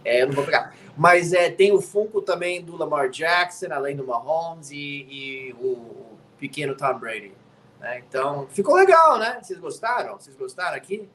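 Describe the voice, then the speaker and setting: A man's voice: fairly high pitch, big pitch swings, moderate pace, fairly bright tone, a little hiss or hum. A man who grew up in the United States, an NFL commentator chatting as a guest from his home studio, teasing and tagging his sentences with little questions. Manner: chatty and teasing, tags lines with "né?". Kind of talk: casual chat.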